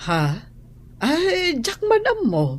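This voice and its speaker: Anxious, deferential household maid. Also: female voice, very high voice, wildly swooping pitch, slow pace, heavily acted. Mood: uneasy, evasive. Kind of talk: Ilocano radio drama acting.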